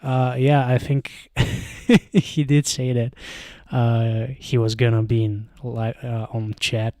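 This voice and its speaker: Bulgarian accent dude rambling on his stream, warm low-key tone, lots of uh and you know. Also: little pitch movement, takes it slowly, no echo, clean studio sound. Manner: rambling, hesitant, many fillers and restarts. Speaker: live streamer. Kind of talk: casual live stream chat. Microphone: handheld microphone close to mouth.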